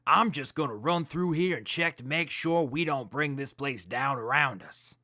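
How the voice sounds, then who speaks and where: A man's voice: mid-range voice, animated, moderate pace, bright, forceful tone, no room sound, clean studio sound. A man acting as a cocky, slangy, laid-back wasteland explosives guy in a post-apocalyptic audio drama. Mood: breezy.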